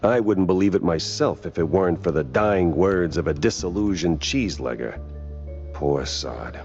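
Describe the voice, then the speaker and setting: Deep-voiced, hardboiled noir mouse detective. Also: male voice, lively intonation, normal speed, darkish tone, dull audio, soft background music. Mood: bitter, weary. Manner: dry, wisecracking noir delivery. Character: hardboiled, world-weary cartoon mouse private detective. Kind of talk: 1930s noir cartoon game acting.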